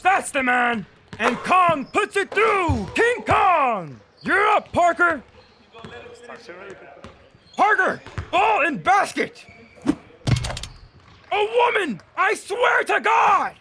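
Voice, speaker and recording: A man playing a loud, bullying gym coach. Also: extremely high pitch, sing-song, drawn-out words, long pauses, noisy background, full character voice. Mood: mocking. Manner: yelling and mocking. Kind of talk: fan dub voice acting.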